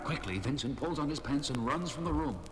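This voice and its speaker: An actor as the melodramatic, portentous storyteller of a gothic horror tale on a 1970s audio drama. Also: male voice, mid-range voice, expressive, brisk, very noisy, lightly acted. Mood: dramatic. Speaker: narrator. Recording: loud dramatic music under narration. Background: loud music.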